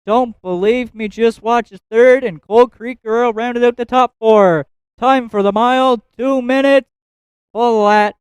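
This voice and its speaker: Track announcer: man, very high and crystal-clear voice, rapid rhythmic race call. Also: steady tone, no echo. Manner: rapid, rhythmic listing of positions and times. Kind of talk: live harness race call.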